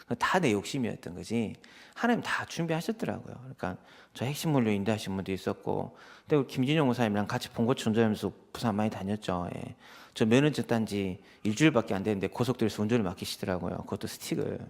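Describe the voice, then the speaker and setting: A man's voice: fairly low pitch, fast talker, vocal fry. A man, preaching a conversational sermon full of personal anecdotes and acting out quoted exchanges. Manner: animated storytelling, acts out quoted exchanges. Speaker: associate pastor preaching.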